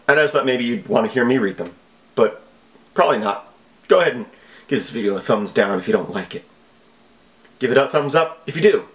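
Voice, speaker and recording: Wry man chatting casually, animated. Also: medium pitch. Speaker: video host. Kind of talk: casual comic commentary. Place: small home office, indoors.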